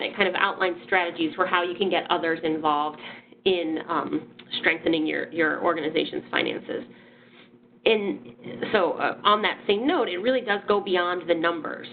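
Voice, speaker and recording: A woman's voice: lowish voice, a little hiss or hum. Nasal woman hosting a webinar. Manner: friendly, many ums and restarts. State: nasal from a sinus infection. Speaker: webinar host and presenter. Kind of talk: webinar presentation. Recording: phone-like audio.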